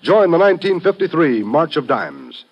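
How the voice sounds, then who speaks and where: A man's voice: fairly high pitch, big pitch swings, moderate pace. An American man giving a formal, earnest scripted announcement in 1950s radio style. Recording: muffled old radio broadcast.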